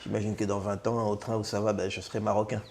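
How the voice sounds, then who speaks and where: A Belgian man in his thirties, a comedian guest in a casual interview, speaking with many pauses and fillers and a self-mocking humor. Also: lowish voice, flat delivery, creaky, darkish tone, a little hiss or hum. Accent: Belgian French.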